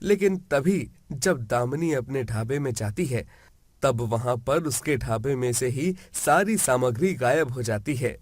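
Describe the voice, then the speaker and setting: A man's voice: mid-range voice, lively intonation, a little slow, dry recording. A man reading scripted narration for a children's moral story.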